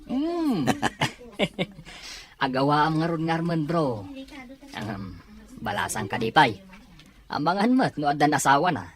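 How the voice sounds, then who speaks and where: A man's voice: on the high side, very animated, slow pace, long pauses, fairly bright tone, exaggerated voice. A man playing a knowing, amused buddy who teases his friend in an audio drama. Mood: amused teasing. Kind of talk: Ilocano audio drama acting.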